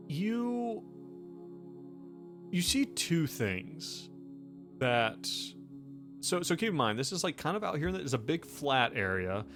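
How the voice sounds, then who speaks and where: An American man narrating a tabletop game as game master, improvising in a halting, conversational way. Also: medium pitch. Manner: halting, conversational, peppered with "like" and "you know". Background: faint music.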